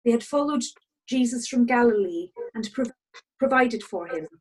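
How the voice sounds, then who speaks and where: A woman narrates a dramatic scripture reading over a video call. Her voice sits on the high side and has a clearly husky grain. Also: animated, normal speed, rough recording. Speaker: narrator in a congregation's Passion reading.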